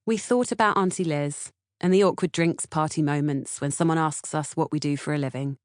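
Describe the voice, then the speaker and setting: A woman's voice: low voice, fairly quick, no echo, studio quality. A woman with a British accent reading scripted audiobook narration. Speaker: author-narrator reading audiobook, podcast co-host.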